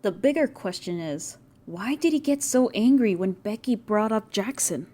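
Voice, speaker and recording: A woman's voice: medium pitch, expressive, normal speed, mellow, lightly acted. A woman playing a blunt, take-charge young team leader in an audio story. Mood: puzzled, blunt. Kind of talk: audio story character acting.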